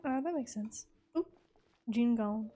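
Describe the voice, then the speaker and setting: A woman's voice: fairly high pitch, measured pace, warm tone. A woman giving casual gameplay reaction commentary, with playful, slangy asides. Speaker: game streamer.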